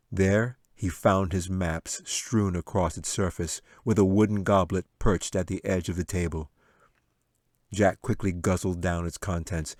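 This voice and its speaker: A man's voice: sits low, darkish tone, no room sound, clean studio sound. A man reading scripted fantasy fiction as the author and narrator of an audiobook.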